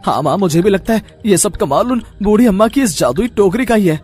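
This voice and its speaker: An earnest young village lad acted by a man in a cartoon tale, dutiful and eager. His voice is high for a male, with faint music behind it. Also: animated, a little fast, darkish tone, breathy edge. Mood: amazed. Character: hardworking, dutiful young samosa-maker son, eager and polite. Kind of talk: cartoon story dialogue.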